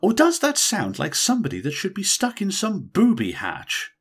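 A man reading an audiobook, voicing a brash, irritable young woman in a bridesmaid's role who talks in a shrill, insistent way. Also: very animated, moderate pace, husky. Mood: shrill, indignant. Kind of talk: audiobook character voice.

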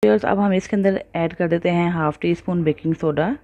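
Lady doing a cooking voice-over, low mellow voice, keeps saying look viewers. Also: brisk, dull audio. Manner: friendly, addresses viewers often, mixes in English words. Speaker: cooking channel host. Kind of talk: step-by-step recipe voice-over.

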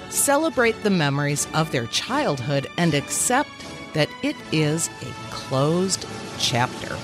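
A woman in her fifties, a podcast host, delivering a mock commencement speech in a measured, deliberate way with emphatic pauses. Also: low-pitched. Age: 50s. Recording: music bed underneath.

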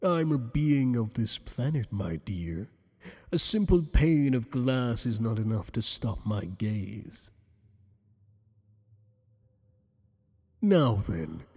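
A man's voice: mid-range voice, sing-song, mellow, slightly rough, exaggerated voice. Silky, teasing alien monster played by a man. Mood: flirtatious. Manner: teasing, silky, courtly and deliberate. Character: flirtatious, possessive male tentacled alien monster boss. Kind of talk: ASMR roleplay acting. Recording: long echoing reverb.

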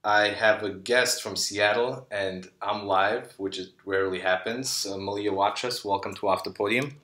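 A man hosting a music podcast, asking questions in a halting way with many fillers and restarts. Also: fairly low pitch, fairly even intonation, bright, forceful tone. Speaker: podcast host, conductor. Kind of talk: casual interview. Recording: echoey room.

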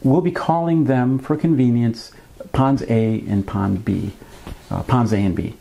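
A man in his fifties hosting a fishing vlog, explaining things to camera in a conversational, measured way with wry asides. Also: mid-range voice, gravelly, warm tone, poor audio. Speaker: fishing channel host. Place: small indoor room at a desk with a computer.

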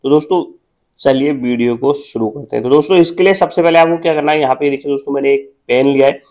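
A man's voice: medium pitch, quick pace. A man, hosting a cooking channel and talking through a recipe step by step in a plain instructional voice-over. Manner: flat, repetitive instructional tone.

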